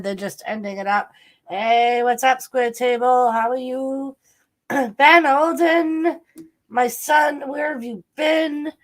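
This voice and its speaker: A woman's voice: a little high, fairly bright tone. A woman with a stuffy nose hosting a live stream and greeting viewers in chat. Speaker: stream host.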